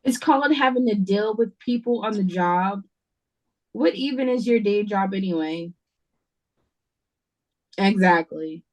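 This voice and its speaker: A woman's voice: medium pitch, lively intonation, unhurried, pauses often, close to an everyday voice, rough recording. A woman playing a guarded, sarcastic waitress in an audio drama read-through, curt and exasperated. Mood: irritated. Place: remote reading over a video call. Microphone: video call.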